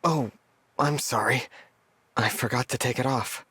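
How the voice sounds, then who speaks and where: An actor playing an earnest, soft-hearted young hero in a video game, hesitant and sincere. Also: male voice, mid-range voice, unhurried, slightly rough, dry recording, heavily acted. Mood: uneasy, sincere. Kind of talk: scripted video game voice acting.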